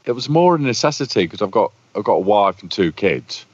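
A man with a deep, boomy voice, a bass player chatting as a guest and telling long stories. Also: very animated, brisk. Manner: talkative, storytelling. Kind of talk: casual conversation. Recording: muffled lo-fi audio.

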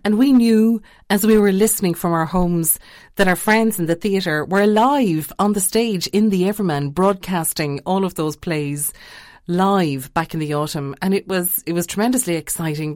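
A woman presenting radio conversationally, expressive and chatty. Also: on the low side, normal speed, no echo, studio quality.

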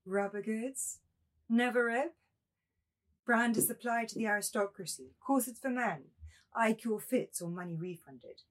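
Woman playing a husky, solemn nymph. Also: fairly high pitch, measured pace. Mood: sad reproach. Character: ethereal young nymph, solemn, primly reproachful. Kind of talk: dramatised reading of a novel.

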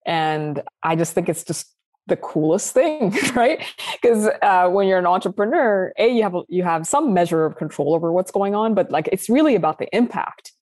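Young woman guest, originally from Sudan, low voice, dry close mic, chatty and animated with frequent laughs. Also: moderate pace, no echo. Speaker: guest founder. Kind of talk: casual interview chat.

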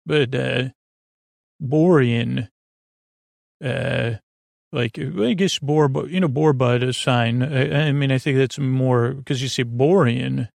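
A man hosting a bedtime podcast, drifting through meandering, digressive talk in a soft, unhurried, self-deprecating way. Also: medium pitch, vocal fry, dry recording, studio quality. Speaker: podcast host.

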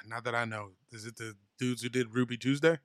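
A man co-hosting a podcast in casual comedic chat, cracking deadpan jokes. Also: mid-range voice, moderate pace, dry recording, studio quality. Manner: joking, deadpan asides. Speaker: podcast co-host.

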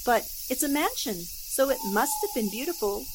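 A woman plays an inquisitive newspaperwoman in a 1940s-style radio drama. Her voice is fairly high, composed and decisive. Noticeable ambient noise sits behind her. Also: very animated, moderate pace. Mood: curious. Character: inquisitive, self-assured female newspaper reporter, shrewd and composed.

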